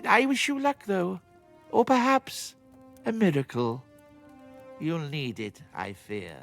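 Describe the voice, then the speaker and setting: A man's voice: on the high side, wildly swooping pitch, slow, vocal fry, exaggerated voice. A man playing an ominous, theatrical storyteller in a fantasy video game, foreboding in manner. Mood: wary, foreboding. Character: ominous, theatrical storyteller of a cursed village's tragedy. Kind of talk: dramatic video game narration. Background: music.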